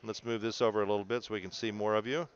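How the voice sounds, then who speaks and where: A man's voice: lowish voice, level tone, rapid-fire, muffled recording, slight background noise. A man coaching a student through video analysis in an encouraging, explanatory way, often checking in. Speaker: bowling coach.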